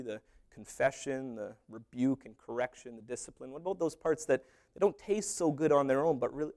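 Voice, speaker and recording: A man preaching a sermon as pastor, animated, with humorous asides and little acted-out dialogues. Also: fairly high pitch.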